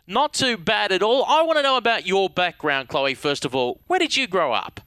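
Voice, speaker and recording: A man's voice: high voice, big pitch swings, normal speed, sharp tone, smooth, no echo, studio quality. A man hosting a sports radio show, interviewing guests in a wordy announcer style.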